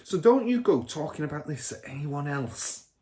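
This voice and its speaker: Bloke reading a storybook as a bullying dodgy dad, loud n scornful, voice swooping way up. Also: male voice, on the high side, big pitch swings, moderate pace, voice pushed up. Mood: boastful. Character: boastful bullying crooked father, a car dealer.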